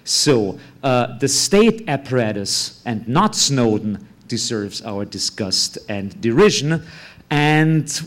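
Austrian-accented male speaker, blunt and halting, mid voice, stage talk. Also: mid-range voice. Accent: Austrian German. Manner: hesitant, many uh fillers, blunt with curse words. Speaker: conference speaker. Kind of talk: live talk without slides.

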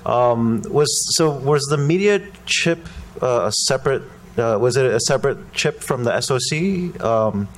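A man from the audience asking a technical question, halting with many ums and uhs. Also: medium pitch, animated.

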